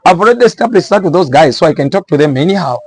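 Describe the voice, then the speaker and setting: A man's voice: fairly high pitch, animated, very fast, slightly rough, no room sound. A man with a Zambian accent giving small business advice in an informal explainer style, repeating phrases for emphasis.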